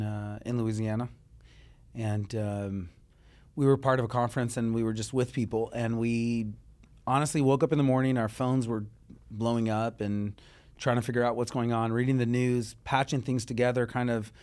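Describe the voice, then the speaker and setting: A man from Israel speaks in a mid-pitched voice as an interview guest. His delivery is earnest and halting, full of restarts and small fillers as he gathers his thoughts. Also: a little mellow. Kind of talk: conversational interview.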